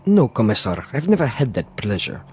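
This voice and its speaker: A man's voice: medium pitch, sing-song, a little fast, darkish tone, faint music behind, close to an everyday voice. A man playing a blunt but deferential henchman in a drama, a plain-spoken killer who talks matter-of-factly. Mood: blunt, puzzled. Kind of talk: dramatic TV-style dialogue acting.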